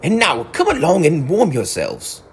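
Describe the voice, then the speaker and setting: A man's voice: a little high, wildly swooping pitch, normal speed, a little hiss or hum, voice pushed up. A man voicing a hearty, masterful male rat who speaks in a commanding way.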